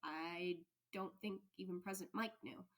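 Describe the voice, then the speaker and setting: A gossipy, curious teenage student in an audio story, played by a woman. The delivery is chatty and animated. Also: on the low side, moderate pace, lightly acted. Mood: excited curiosity.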